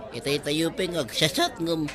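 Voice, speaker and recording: A man's voice: fairly high pitch, moderate pace, a crowd in the background, close to an everyday voice. A man playing a jovial buddy who ribs his friends in a comedy drama, teasing and familiar. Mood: amused teasing. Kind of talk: Ilocano comedy drama acting.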